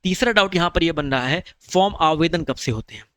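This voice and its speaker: A man's voice: a little high, lively intonation, fairly quick, fairly bright tone, clear, resonant tone, no echo, studio quality. A man, explaining exam preparation in a chatty, reassuring video lesson and calling viewers brother. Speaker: exam-prep teacher.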